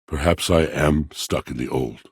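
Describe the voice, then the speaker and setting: An actor playing a brooding, melancholy, self-pitying machine in a video game, speaking florid, poetic lines in a grand theatrical manner with a gravelly voice. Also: male voice, rumbling low, a little slow, no echo, studio quality, exaggerated voice. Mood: subdued, musing. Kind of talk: video game character acting.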